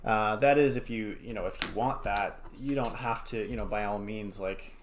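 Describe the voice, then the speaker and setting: A man's voice: on the low side, normal speed. Casual man teaching crafts over background noise. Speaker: art educator, host. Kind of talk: casual step-by-step craft tutorial. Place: home studio. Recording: clear background noise, small clicks.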